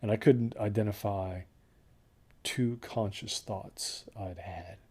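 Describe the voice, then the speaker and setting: A man acting as a haunted, unravelling desert hiker who recounts his trek in a halting, introspective monologue with a husky voice. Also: fairly low pitch, a little mellow. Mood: uneasy, haunted. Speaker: first-person narrator of a horror audio drama. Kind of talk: confessional dramatic monologue.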